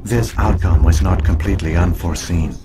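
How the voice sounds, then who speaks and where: A man as a deep, solemn ancient alien AI. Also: loud music behind the voice, natural voice. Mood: solemn, measured. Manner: formal, measured, unemotional. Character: ancient alien AI hologram guardian, solemn and measured. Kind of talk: scripted narration, video game acting.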